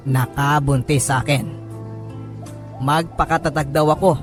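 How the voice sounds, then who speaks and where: A man with a Filipino accent reading a listener's letter as a radio host, in dramatic first-person narration. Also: on the high side. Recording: music bed under narration.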